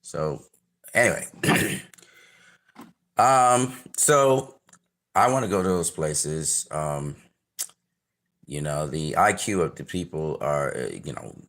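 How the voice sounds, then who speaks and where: Low-voiced Trinidadian man rambling through a trailer. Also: lively intonation, slow, pauses often. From Trinidad and Tobago. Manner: rambling, many fillers and restarts, gives shout-outs. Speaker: channel host reviewing a trailer. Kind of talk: casual reaction commentary.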